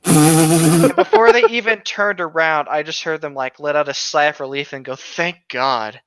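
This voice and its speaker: A man's voice: fairly high pitch, very animated, normal speed, a bit bright, dry recording. A man chatting casually and candidly on a podcast, telling rambling personal stories with some swearing. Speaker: co-host of a reading podcast. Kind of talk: casual chat with anecdotes.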